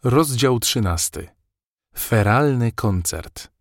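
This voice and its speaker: A man narrating a scripted children's story in an expressive, wry way. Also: medium pitch, slow, no room sound, clean studio sound. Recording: faint music behind narration.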